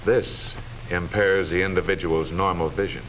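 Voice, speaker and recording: Deep-voiced 1940s American film narrator, man. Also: fairly even intonation. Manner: measured, authoritative, precise. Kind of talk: formal 1940s scripted documentary narration. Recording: dull, noisy 1940s film soundtrack.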